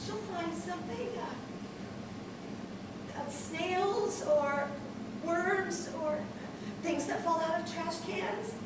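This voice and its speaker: A high-strung, indignant mother. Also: expressive, normal speed, pauses often. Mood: soothing. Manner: sharp and clipped, erupting into screaming. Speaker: mother on the phone to her child. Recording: roomy, distant mic with background noise.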